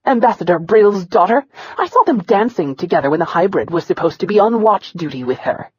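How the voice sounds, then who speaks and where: A man's voice: high-pitched, big pitch swings, a little fast, breathy edge, highs cut off, exaggerated voice. A woman voicing a smug young man with a sneering, spiteful delivery.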